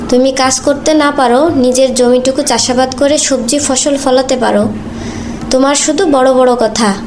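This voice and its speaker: A woman's voice: high-pitched, steady tone, normal speed, music in the background, natural voice. A woman playing a fed-up, hard-working village wife and mother in a cartoon, with a nagging manner. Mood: fed up, scolding. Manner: nagging, complaining. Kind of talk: cartoon voice acting.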